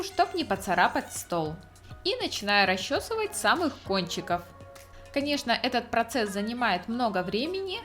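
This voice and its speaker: Woman narrating a craft tutorial with lively intonation. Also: medium pitch. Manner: step-by-step explaining. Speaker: craft channel host.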